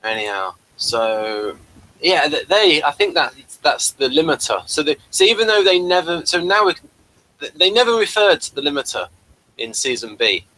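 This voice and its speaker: A man hosting a casual review chat, explaining things in a halting way and often restarting mid-thought. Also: on the high side, big pitch swings, sharp tone, low-quality recording. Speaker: podcast host.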